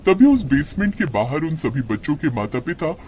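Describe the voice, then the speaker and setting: Animated male storybook narrator. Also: on the high side, normal speed, slight background noise.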